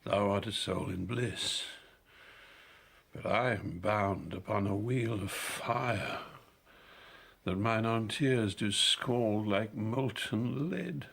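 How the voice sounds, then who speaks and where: An actor playing a frail, confused old king in his eighties in a classical audio drama, gravelly and halting. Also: fairly low pitch, a bit bright, full character voice. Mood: anguished. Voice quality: gravelly, creaky. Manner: halting, bewildered.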